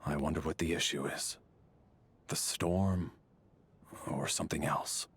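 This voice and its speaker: An actor playing a formal, stern military major in a video game, speaking in a measured, official manner. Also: male voice, extremely low pitch, steady tone, vocal fry, fairly bright tone, husky, heavily acted. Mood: grave, suspicious. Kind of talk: video game character dialogue.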